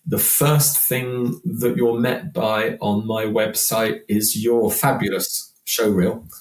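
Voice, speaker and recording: A man's voice: lowish voice, fairly even intonation, slow. A British man aged sixty chatting casually as an interview guest, rambling and self-deprecating. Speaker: podcast guest, working function singer. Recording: roomy, echoing sound.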